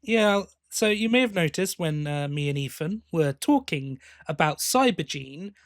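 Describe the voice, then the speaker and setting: A man's voice: fairly high pitch, expressive, measured pace, no echo, clean studio sound. A man co-hosting a podcast, chatting casually about audio dramas, with hesitant starts and repeated words. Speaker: podcast co-host.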